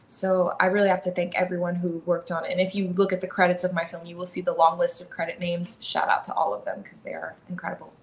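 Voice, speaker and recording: A young woman from Miami with an American accent, talking casually as a guest about her animated film, upbeat and full of likes and ums. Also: fairly low pitch, fast talker, hardly any pauses, a little hiss or hum. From Miami, Florida. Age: young adult. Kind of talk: casual interview chat. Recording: roomy, echoey sound with faint ambience.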